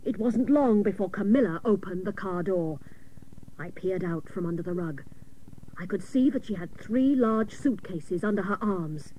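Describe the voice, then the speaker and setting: An actress playing a hapless, literal-minded female private detective, narrating a comic radio serial with mock-dramatic seriousness and deadpan jokes. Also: mid-range voice, moderate pace, natural voice. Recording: noisy old cassette of radio broadcast.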